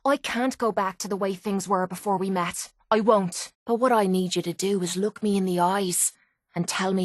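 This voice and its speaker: An actress voicing a tough, guarded but loyal young Irish pit fighter in a video game, speaking bluntly and colloquially. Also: female voice, mid-range voice, no room sound, clean studio sound, close to an everyday voice. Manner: blunt, rough-edged, colloquial. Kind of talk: video game companion dialogue.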